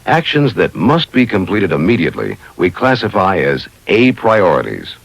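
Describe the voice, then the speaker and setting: A man's voice: sits low, huge pitch swings, moderate pace, vocal fry, no echo, rough recording. A man reading scripted instructional narration in a formal, methodical way, as the narrator of a training video.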